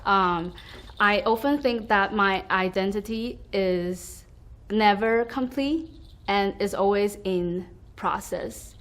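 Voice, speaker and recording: A woman's voice: medium pitch, slow pace. A young woman from Taiwan, a school staff member, reflecting on her identity in a hesitant, thoughtful way with many fillers. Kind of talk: reflective personal testimony.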